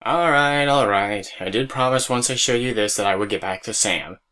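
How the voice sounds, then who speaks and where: A man's voice: medium pitch, moderate pace, bright, forceful tone. A man narrating an audio drama as storyteller, with casual asides to the listener.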